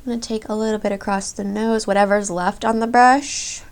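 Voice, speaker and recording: A woman's voice: mid-range voice, steady tone, a little fast. A woman in her thirties, a beauty vlogger, chatting through a makeup tutorial in a gushing, chatty way, a little congested from a cold. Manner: gushing, chatty product reviews. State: slightly congested.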